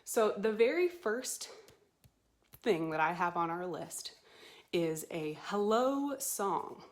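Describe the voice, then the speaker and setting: A woman hosting a storytime for young children, talking to them in an animated way with simple questions and encouragement. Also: on the low side, slow pace. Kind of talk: children's storytime presenting to camera. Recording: roomy, echoey sound.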